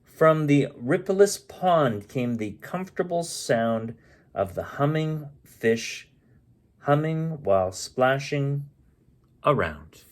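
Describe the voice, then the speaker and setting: Slow-paced, expressive man reading a bedtime story. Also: on the high side. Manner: unhurried, stumbles and corrects himself. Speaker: bedtime story reader and host. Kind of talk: expressive read-aloud of a rhyming children's storybook.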